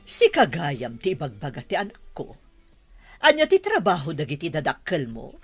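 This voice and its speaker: A woman's voice: deep voice, huge pitch swings, normal speed, slightly rough, faint music behind, exaggerated voice. A woman playing a suspicious, haughty mother of a grown son, scolding and nagging in an audio drama. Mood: disapproving. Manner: sharp, nagging, exclamatory. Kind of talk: melodramatic audio drama acting.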